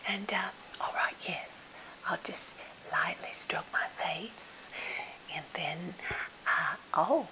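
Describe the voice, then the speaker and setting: A woman playing a timid, slightly odd captive who is eager to please, speaking in a halting, gentle, polite whisper for an ASMR role play. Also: on the low side, poor audio. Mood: soothing, fussy. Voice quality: breathy whisper with fry.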